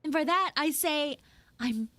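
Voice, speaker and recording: A woman voicing a flustered, grudging tsundere frog girl in a live audio roleplay, speaking casually with halting stammers. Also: sits high, expressive, pushed and bright, dry recording, heavily acted. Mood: awkwardly apologetic. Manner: halting, stammering, drawn-out delivery.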